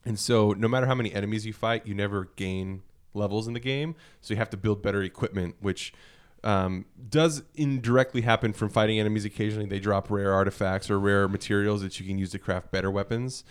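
A man in casual conversation about video games as a podcast panelist, explaining things in a halting, thinking-aloud way. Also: on the low side, fairly even intonation, smooth.

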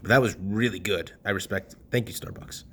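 Young male podcast host, casual storyteller, lively delivery with loud and hushed swings, swears freely. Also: lowish voice, fairly quick, a little hiss or hum.

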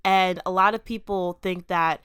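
A woman's voice: lowish voice, moderate pace, smooth, dry recording, studio quality. Chatty young woman co-hosting a podcast. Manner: animated, lots of "like", supportive. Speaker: podcast co-host. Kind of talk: casual conversational podcast.

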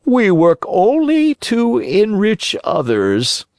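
A cunning fox from a storybook, drawing out each word to tempt his mark, as the reader puts him on. The voice is pitched high above the reader's own and moves very slowly, with wide swoops. The sound is dry and close. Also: wildly swooping pitch, darkish tone, no room sound. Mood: coaxing. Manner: drawn-out, coaxing. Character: sly scheming fox, smooth talker.

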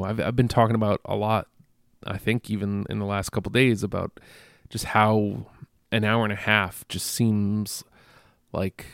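A man's voice: lowish voice, vocal fry, a little mellow, dry recording. A congested man hosting a casual solo podcast, rambling and self-deprecating, with many fillers and restarts, close to the microphone. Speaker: podcast host.

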